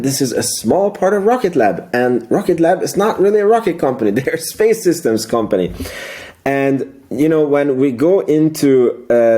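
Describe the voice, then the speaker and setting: A man's voice: mid-range voice, mellow. A man hosting a casual financial commentary recap, rambling as he thinks aloud and repeating words for emphasis. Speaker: video host, amateur investor commentator.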